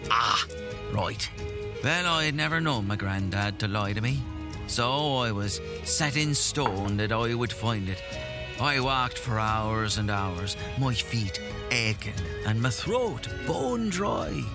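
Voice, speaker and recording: Man acting animated old village storyteller. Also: mid-range voice, takes it slowly, over music. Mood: wonder-struck storytelling. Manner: theatrical, folksy, savouring the tale. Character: folksy old village storyteller, boastful, earnest and whimsical. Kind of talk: audio drama tale-telling.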